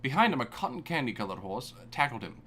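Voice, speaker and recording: Young man, halting reader, lively pitch, faint hum behind. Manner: stumbling, self-conscious reading. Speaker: co-host reading aloud. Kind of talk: casual reading aloud on a stream.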